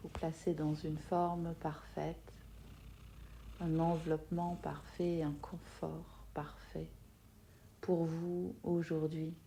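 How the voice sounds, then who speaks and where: Very slow, mellow woman guiding meditation. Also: low-pitched, level tone, vocal fry, slight background noise. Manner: soothing, soft-spoken with long pauses. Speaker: yoga nidra teacher guiding a relaxation. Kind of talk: guided meditation.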